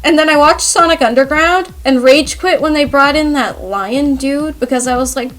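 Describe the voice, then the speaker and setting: A young adult woman chatting casually in a giggly, animated, self-deprecating way, as if telling stories to viewers. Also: high voice, moderate pace. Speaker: YouTube artist telling personal stories. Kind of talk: casual rambling chat over speedpaint. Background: background music.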